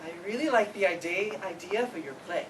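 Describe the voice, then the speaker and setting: A woman's voice: mid-range voice, lively intonation, a little fast, lightly acted. A woman playing an awkward, eager, earnest classmate in an amateur stage play reading, theatrical in places. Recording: distant mic, clearly noisy live room.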